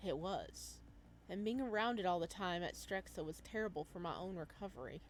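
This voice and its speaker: A woman's voice: lowish voice, a little fast, slight background noise, natural voice. Blunt, wry ex-employee woman read by a gamer. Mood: earnest. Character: guarded, blunt ex-employee turned community organiser, woman.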